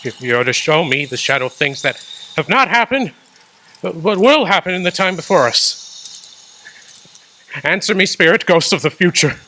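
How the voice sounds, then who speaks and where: A frightened old miser stammers and begs a silent spirit, voiced by a man. His voice runs high and bright, leaping up and down as he pleads. A stage radio play read with halting urgency. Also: lively intonation, slight background noise. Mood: fearful pleading. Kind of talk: amateur radio drama acting.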